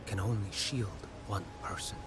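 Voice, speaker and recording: An actor playing a grave relic bearer in a fantasy game cutscene, solemn in manner. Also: male voice, lowish voice, fairly even intonation, normal speed, close to an everyday voice. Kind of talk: voiced game cutscene dialogue. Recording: loud music behind voice.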